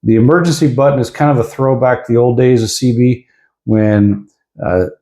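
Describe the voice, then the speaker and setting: A man's voice: medium pitch, moderate pace, warm tone. An American man giving a casual, informal product review, explaining features a little hesitantly. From United States. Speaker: radio reviewer. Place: indoors at a desk. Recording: roomy, echoing indoor sound.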